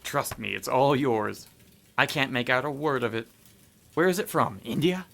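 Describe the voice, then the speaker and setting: A gravelly, nervous haunted scholar, Carter. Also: male voice, medium pitch, lively intonation, normal speed, a bit bright. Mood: uneasy. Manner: nervous, formal old-fashioned speech. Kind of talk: horror radio drama acting.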